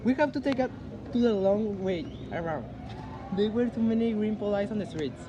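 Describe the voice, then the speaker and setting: A watchful, businesslike wartime man acted by a man in a stage reading. His brisk voice is high, in a muffled recording with loud noise. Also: animated. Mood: urgent, businesslike. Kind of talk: amateur stage dramatic reading.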